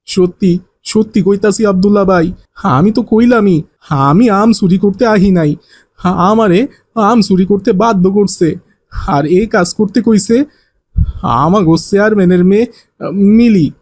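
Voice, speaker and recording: A man's voice: high-pitched, a little slow, pauses often, a little mellow, slightly rough, no echo, full character voice. A man playing a cowardly village thief in a cartoon, pleading and repeating himself in colloquial rural Bangladeshi speech. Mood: pleading, frightened.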